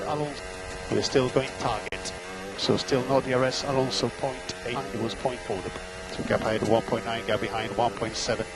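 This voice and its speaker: Male race engineer on noisy team radio. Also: mid-range voice. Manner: clipped, steady calls of gaps and lap times. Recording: heavy noise, radio over engine.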